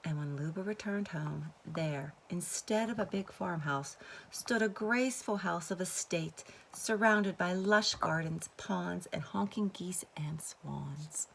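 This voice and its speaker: Woman, low-pitched creaky voice, expressive children's read-aloud, faint background noise. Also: takes it slowly, poor audio. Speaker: storybook reader, teacher.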